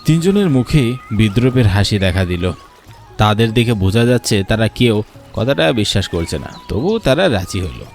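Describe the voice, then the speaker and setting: A man's voice: fairly low pitch, sing-song, normal speed, a little mellow. A man narrating a cartoon folk story as a scripted storyteller. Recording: music behind the narration.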